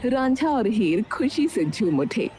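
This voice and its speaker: A woman's voice: a little high, very animated, unhurried, dark tone, a little hiss or hum. A woman narrating a cartoon moral story from a script. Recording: music behind the voice.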